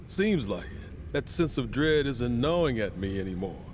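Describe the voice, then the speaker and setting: An actor playing an easygoing companion in a fantasy video game, speaking in a wry, unhurried manner. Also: male voice, medium pitch, very animated, a little hiss or hum, close to an everyday voice. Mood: wry, relieved. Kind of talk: video game cutscene acting.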